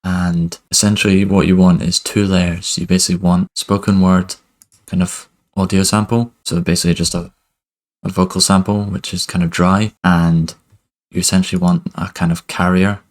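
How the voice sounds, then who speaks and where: A young man teaching music production over a screen recording in a relaxed, loose way, with lots of "kind of" and "basically", speaking into a headset mic in a home studio. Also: sits low, normal speed. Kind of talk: screen-recorded software tutorial. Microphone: headset with webcam inset.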